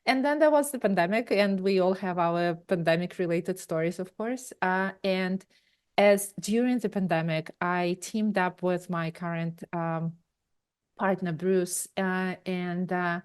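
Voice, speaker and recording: A woman's voice: fairly low pitch, steady tone, measured pace, a little mellow, clear, resonant tone. A woman in her forties with a Ukrainian accent, a podcast guest telling her career story in long, rambling answers full of fillers and restarts. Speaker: guest, tech company founder. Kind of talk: conversational interview answers.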